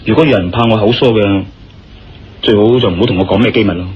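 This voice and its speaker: An actor playing a casual, curious, impatient adventurer in a radio drama, speaking with a Hong Kong Cantonese accent. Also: male voice, on the low side, fast, slightly rough. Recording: dull, muffled radio-style recording. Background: background music.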